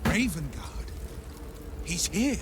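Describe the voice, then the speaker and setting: An actor playing a gallant, earnest young warrior hero in a video game, courtly and gently teasing in his speech. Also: high voice, very animated, slow, background noise, exaggerated voice. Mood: concerned, resolute. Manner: courtly, eloquent phrasing with soft hesitations. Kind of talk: video game dialogue acting.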